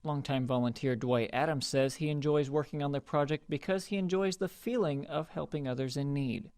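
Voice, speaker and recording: A man's voice: a little high, brisk, a little mellow, clean tone, no room sound. A man reading scripted news reports as a television reporter.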